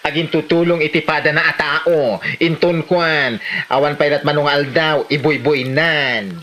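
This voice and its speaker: Actor as a bright-voiced Filipino village gossip, male. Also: a little high, steady tone, measured pace. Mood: disapproving gossip. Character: gossipy Filipino village man, disapproving and talkative. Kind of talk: Ilocano comedy drama acting.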